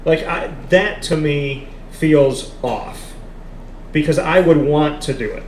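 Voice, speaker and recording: A man's voice: on the high side, takes it slowly, darkish tone. Emphatic man in an echoey café. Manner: emphatic, repeats points for stress. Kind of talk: live casual sports discussion. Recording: echoey café with background noise.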